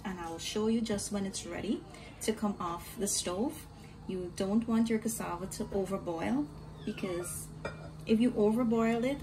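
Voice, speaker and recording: A woman with a Guyanese accent hosting a home cooking tutorial, giving calm step-by-step instructions and often addressing viewers as "guys". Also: mid-range voice. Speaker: cooking channel host. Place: home kitchen. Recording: home recording with audible kitchen noise. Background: dishes, pots and kitchen clatter.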